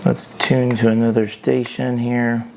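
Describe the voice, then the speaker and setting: A man's voice: medium pitch, flat delivery, measured pace, vocal fry, noisy background. A man giving an unscripted hands-on product review as host, thinking aloud in a hesitant way.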